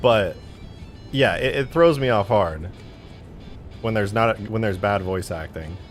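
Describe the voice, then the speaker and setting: Low-voiced man chatting on a livestream. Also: expressive, unhurried, faint music behind. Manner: slangy, swearing, self-deprecating. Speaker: live streamer. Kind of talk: casual livestream chat, reading out viewer comments.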